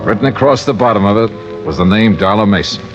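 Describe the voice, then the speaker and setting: An old, muffled radio drama recording has an actor as a hardboiled insurance investigator, with music behind. His voice is on the low side, cool and businesslike, both narrating and questioning. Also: fast talker, close to an everyday voice. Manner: clipped, businesslike.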